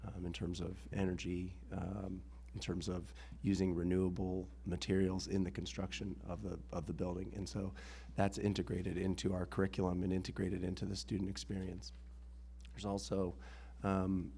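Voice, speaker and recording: A man with a rough voice, an assistant head of school speaking into a microphone at a public hearing, explaining his case earnestly with the odd um. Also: deep voice, moderate pace. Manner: earnest, steady explanation with filler ums. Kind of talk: public meeting testimony. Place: town board hearing room. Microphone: meeting microphone.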